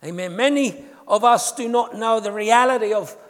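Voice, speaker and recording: Earnest English man preaching, high voice. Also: moderate pace, fairly bright tone. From England. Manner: earnest, rises in pitch and volume when reading scripture. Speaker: guest preacher. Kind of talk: sermon. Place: church hall. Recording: long hall reverb in a church.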